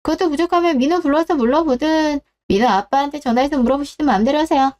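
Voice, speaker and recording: A woman's voice: high-pitched, fast talker, darkish tone, natural voice. Woman playing a smug, mocking daughter-in-law. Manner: mocking, condescending, polite on the surface. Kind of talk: radio drama acting.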